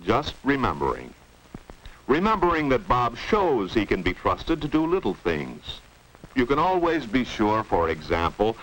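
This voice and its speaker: A man's voice: medium pitch, a bit bright. A man giving scripted 1950s educational film narration, didactic, often posing rhetorical questions. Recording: noisy old 1950s film soundtrack.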